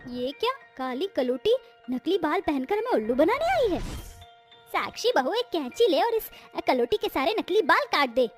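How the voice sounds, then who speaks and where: Actress as cartoon household woman, high voice, theatrical, exaggerated dramatic delivery, faint music underneath. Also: very animated, moderate pace. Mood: haughty, then shocked. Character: women of a cartoon family: brides and haughty in-laws. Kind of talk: dramatic Hindi moral-story cartoon dubbing.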